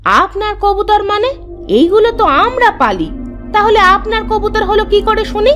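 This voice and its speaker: A woman playing a spirited, expressive village wife in a cartoon story. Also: very high voice, normal speed, music in the background. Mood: defiant, teasing. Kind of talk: Bengali cartoon story voice acting.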